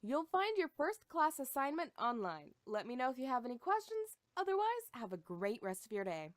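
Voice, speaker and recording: A woman playing a welcoming, orderly professor in a game, formally addressing her class with clear announcements. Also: sits high, big pitch swings, brisk, no echo, clean studio sound. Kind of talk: visual novel game voice acting.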